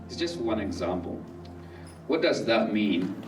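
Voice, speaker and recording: A man from Somalia speaks at a public talk, slow and halting, with many fillers and restarts. His voice sits fairly low. It comes from some way off the mic in a roomy space, with faint music behind. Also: sing-song, recorded from a distance.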